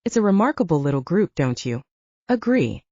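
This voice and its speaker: A woman's voice: fairly low pitch, sing-song, fairly quick, a little mellow, no echo, dull audio. A woman reading a composed, commanding father. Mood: measured. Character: composed, commanding father, middle-aged male leader. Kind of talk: audiobook character dialogue.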